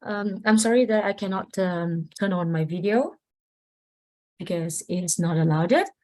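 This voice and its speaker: A woman's voice: lowish voice, unhurried, dark tone. A young woman introducing herself as a PhD student on an online panel, hesitant with many fillers.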